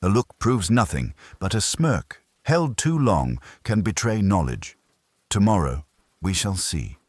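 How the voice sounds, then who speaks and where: A man voicing an imperious, cerebral private detective in an audiobook, speaking in formal, pedantic, measured pronouncements. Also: low-pitched, sing-song, breathy edge, dry recording, studio quality, pitched above the performer's own voice, full character voice. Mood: dismissive, firm. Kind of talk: audiobook character dialogue.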